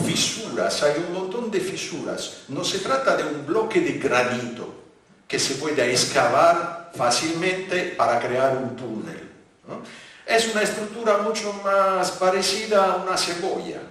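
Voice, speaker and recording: A man from Italy with a light Italian accent, giving a public lecture with slides, talking haltingly with many fillers and restarts. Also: sits high, a bit bright. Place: large conference hall. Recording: very echoey hall, voice from far off.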